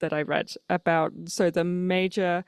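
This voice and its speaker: A woman's voice: lowish voice, little pitch movement, measured pace, clear, resonant tone, dry recording, clean studio sound. A woman hosting a podcast interview, chatting in a friendly, casual way with lots of small affirmations. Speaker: podcast host.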